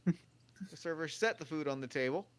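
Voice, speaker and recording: A man's voice: fairly high pitch, normal speed, a crowd in the background. A man narrating scripted stage directions at a comedic table read.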